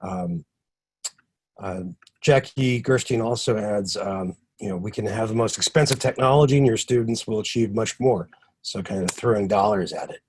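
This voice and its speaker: Male workshop host on a video call, low husky voice, hesitant delivery. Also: expressive, darkish tone. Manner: halting, many ums and uhs. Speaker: session host. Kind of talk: online workshop moderation.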